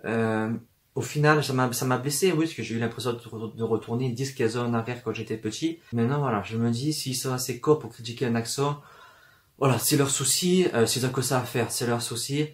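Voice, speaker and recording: A man's voice: medium pitch, brisk. A young man from the south of France with a southern French accent, talking to camera in a personal vlog, hesitant, with many fillers and restarts and an occasional stutter. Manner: stutters at times. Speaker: book vlogger. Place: room full of books, indoors.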